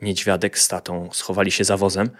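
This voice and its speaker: A man's voice: low-pitched, flat delivery, fairly quick. A man narrating a scripted children's fairy tale as a storyteller.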